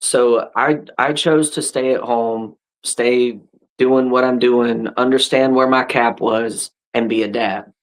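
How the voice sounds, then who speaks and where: A man in his thirties talks shop in an easygoing, conversational way, often restarting his sentences and checking in with "right?" His pitch sits in an ordinary middle range, neither deep nor high. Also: fairly even intonation, takes it slowly, poor audio. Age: 30s. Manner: laid-back, frequent restarts and "right?" tags. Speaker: podcast host and wrestler. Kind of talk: casual chat.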